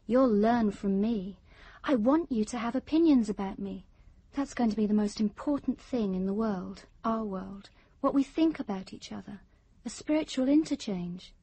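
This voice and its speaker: An actress playing an intense, secretive young British woman in a radio drama, cool, deliberate and self-assured. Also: mid-range voice, mellow, slightly rough. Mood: intense, possessive. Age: young adult.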